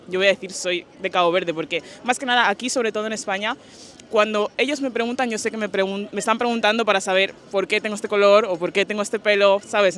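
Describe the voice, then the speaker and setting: A young woman from Spain with a bright, sharp voice talks things through in a relaxed, reflective way. Her answers to the interviewer stay casual and slangy. She sounds thoughtful rather than rushed. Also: mid-range voice. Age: young adult.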